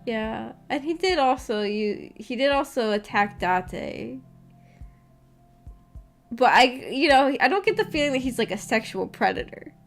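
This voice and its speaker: High-voiced woman streamer chatting slowly. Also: expressive. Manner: hesitant, rambling, giggly. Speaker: streamer and host of a visual novel book club. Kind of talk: casual livestream chat, reacting to viewer comments. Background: background music.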